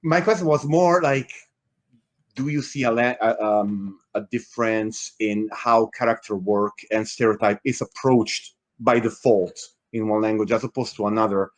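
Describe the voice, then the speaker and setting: A man's voice: lowish voice, normal speed. A man from Italy, moderating a panel discussion, often repeating words and restarting phrases as he works out his point.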